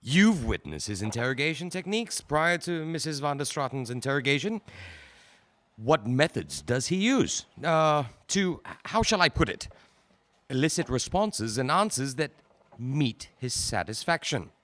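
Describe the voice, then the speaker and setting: An actor playing a male prosecutor who questions a witness in a formal, probing way, with careful pauses. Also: mid-range voice, big pitch swings, fairly bright tone. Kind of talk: audio drama acting.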